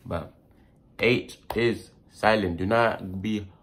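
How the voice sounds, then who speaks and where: A man with a fairly low voice hosts an informal pronunciation lesson. He is a native English speaker who explains foreign-language sounds in loose, slangy talk. He often repeats a word to demonstrate it. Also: fairly even intonation, slow pace, a bit bright. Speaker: host of a language tips video. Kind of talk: casual vlog-style pronunciation lesson.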